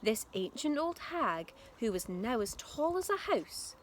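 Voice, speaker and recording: A woman's voice: on the high side, very animated, a little slow. A woman reading a storybook aloud expressively like a primary teacher, speaking directly to young listeners. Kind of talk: expressive storybook narration for children. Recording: clear background noise.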